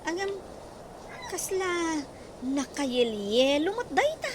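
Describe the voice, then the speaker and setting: Woman, high voice, teasing, gossipy, noisy radio drama recording. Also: big pitch swings, slow. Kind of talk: Ilocano radio comedy drama. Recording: noisy background hiss.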